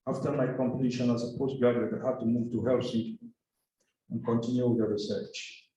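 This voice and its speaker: A man from Cameroon giving a formal seminar presentation, speaking slowly and deliberately. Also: medium pitch, darkish tone, a little hiss or hum. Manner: slow, deliberate, formal phrasing. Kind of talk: lecture presentation. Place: seminar hall. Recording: echoey hall from a distance.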